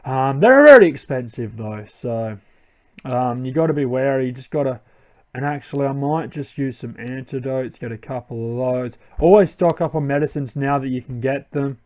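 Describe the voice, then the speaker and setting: A man's voice: mid-range voice, expressive, normal speed. A young man hosting a let's play, giving casual commentary and thinking aloud with many ums.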